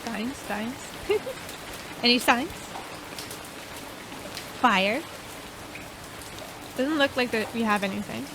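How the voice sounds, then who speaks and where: A woman's voice: a little high, expressive, drawn-out words, long pauses, background noise. A woman doing casual playthrough commentary on a video game and reading passages from it aloud. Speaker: gaming video host.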